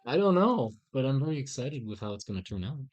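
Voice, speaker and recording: A man chatting casually on a live stream while he draws, in a halting, self-mocking way. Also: mid-range voice, sing-song, muffled recording.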